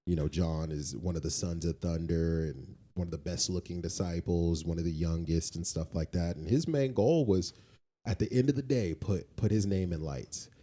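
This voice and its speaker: A man with a very low, dark and relaxed voice talks casually as a podcast co-host. His delivery is informal and slangy, and he often repeats a phrase to drive it home. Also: moderate pace. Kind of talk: casual conversational talk.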